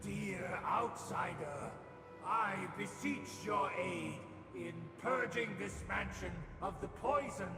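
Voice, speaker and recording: An actor playing an earnest, courtly young master in a video game, speaking in formal, pleading phrases. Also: male voice, high voice, slow pace. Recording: echoey hall reverb over loud music.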